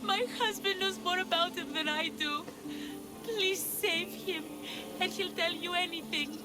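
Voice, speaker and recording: Anxious village wife, game cutscene, earnest, pleading, determined, high-pitched. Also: female voice, steady tone, measured pace, loud music behind the voice, low-quality recording. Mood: pleading, hopeful. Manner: earnest, imploring. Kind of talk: video game cutscene acting.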